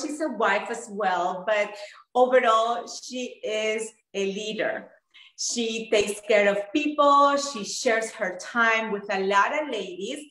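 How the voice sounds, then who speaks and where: A woman's voice: on the high side, fairly bright tone, reverberant, rough recording. A woman hosting an interview in a chatty, enthusiastic way, with many fillers. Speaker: interview host. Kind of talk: casual interview chat.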